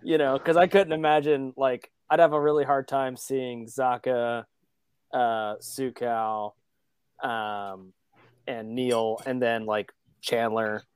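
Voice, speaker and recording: A man's voice: medium pitch, steady tone, slow, clean tone, no room sound. A man chatting casually as a soccer podcast panelist, tentatively thinking aloud through tactical ideas. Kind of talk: casual chat, tactical speculation.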